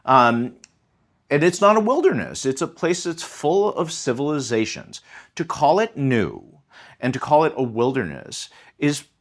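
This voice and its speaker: A male history professor talks through his subject with a lively, rising and falling pitch. He explains in a relaxed lecturing way, pausing on fillers and restarting his sentences as he goes. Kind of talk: conversational explaining.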